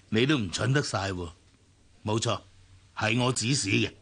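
A male actor playing a cynical, gloating, ruthless villain in a radio drama, with a husky, gravelly voice and a Hong Kong Cantonese accent. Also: on the low side, slow pace, fairly bright tone, heavily acted. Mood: sneering, gloating. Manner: sneering, taunting.

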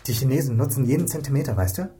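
A man's voice: mid-range voice, lively intonation, rapid-fire, warm tone, lightly acted. A man playing a curious, cheeky helper in a police investigation, casual and wry, in a German radio crime drama. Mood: thoughtful, observant.

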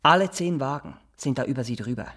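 An actor playing an eager, simple barber in a radio drama, halting and repetitive. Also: male voice, medium pitch, lively intonation, a bit bright. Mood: morbid, proud. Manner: halting, repeats himself, insistent. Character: eager simple Swiss barber, boastful, morbidly fascinated. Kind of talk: naturalistic radio drama dialogue.

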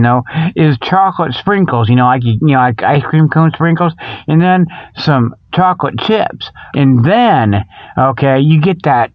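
High-pitched folksy man rambling on comedy radio. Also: dry recording, muffled recording. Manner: folksy, colloquial phrasing with frequent "okay" and "you know". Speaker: radio show host. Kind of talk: rambling comic radio monologue.

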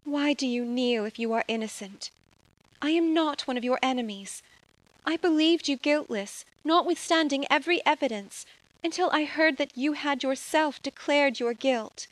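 Woman voicing a gentle, loyal young noblewoman, earnest, high voice, dry close sound. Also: moderate pace, no echo, natural voice, rough recording. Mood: tender. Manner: earnest, emotional, tender pleading. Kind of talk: audio drama acting.

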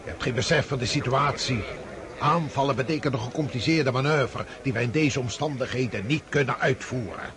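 An actor playing a weary, stubborn old Russian commander-in-chief in a radio drama, grumbling and commanding. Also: male voice, medium pitch, fairly quick, crowd noise, natural voice. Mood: dismissive, weary. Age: older.

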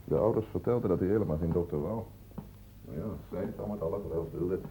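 An actor playing a matter-of-fact, world-weary hospital doctor, reporting tersely in a radio play. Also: male voice, deep voice, fast talker, vocal fry, dark tone. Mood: resigned. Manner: colloquial, terse clinical reporting. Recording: tinny, noisy old radio play recording.

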